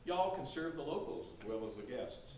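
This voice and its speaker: A man's voice: on the high side, lively intonation, moderate pace, darkish tone, lightly acted. A man playing a courteous, folksy real estate agent giving a sales tour in a staged play reading. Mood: courteous, selling. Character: polite Southern real estate agent showing an old inn. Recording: echoey hall, distant mic, faint noise.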